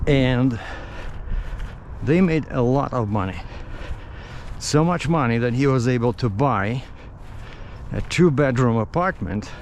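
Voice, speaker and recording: A middle-aged man from Russia with a Russian accent tells stories casually in English as a non-native speaker, haltingly searching for words. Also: mid-range voice, a little mellow. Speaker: vlogger. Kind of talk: casual rambling storytelling. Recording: outdoor street noise with traffic.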